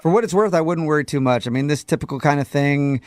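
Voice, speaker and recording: A man's voice: on the high side, quick pace, a little mellow, dry recording, studio quality, close to an everyday voice. A man playing a chatty county court clerk on a prank phone call, casual, evasive and amused as he breaks awkward news. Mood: sheepish, flippant.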